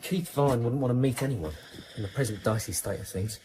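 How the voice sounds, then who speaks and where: An actor playing a cocky, jittery criminal informant with a husky voice, in radio drama style. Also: male voice, mid-range voice, fairly quick, noisy background, natural voice. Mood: nervy, bitter. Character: cocky, jittery male police informant and small-time criminal. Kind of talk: naturalistic radio drama acting.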